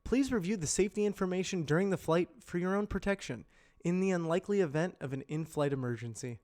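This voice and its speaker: A man with a somewhat high voice narrates scripted explainer copy. He speaks in a measured way and enunciates each term clearly, in the manner of an educational or corporate voice-over. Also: darkish tone. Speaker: amateur voice actor practicing narration.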